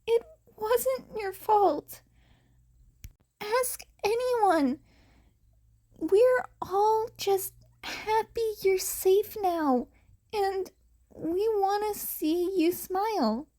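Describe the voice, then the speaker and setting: A woman voicing a nervous, soft-hearted teenage boy vigilante who speaks in an earnest, halting way in a story reading. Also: very high-pitched, pitched above the performer's own voice, exaggerated voice. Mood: gentle, reassuring.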